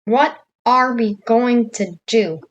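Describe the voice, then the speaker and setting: A woman's voice: on the high side, slow, dull audio. A woman playing a distraught, despairing broadcaster in amateur audio drama dialogue. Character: distraught, despairing female broadcaster in a collapsing nation.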